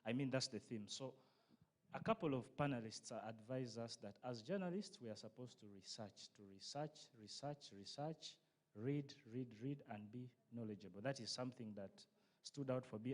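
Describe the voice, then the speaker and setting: A man's voice: mid-range voice, moderate pace. A young man with a Ugandan accent, a journalism student answering questions in an interview. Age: young adult.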